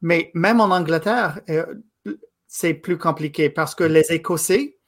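A man in his thirties, a native English speaker from Quebec, giving a guest interview in a thoughtful, halting way. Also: fairly high pitch. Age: 30s. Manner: thoughtful, halting, with frequent pauses and restarts. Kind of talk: casual interview conversation.

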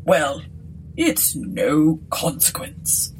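A woman performs a gentlemanly ghost pirate captain from a storybook. He is unruffled and leisurely, speaking very slowly in a lowish, breathy voice. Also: expressive, slight background noise. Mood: cool. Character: cool, genteel ghostly pirate captain, male.

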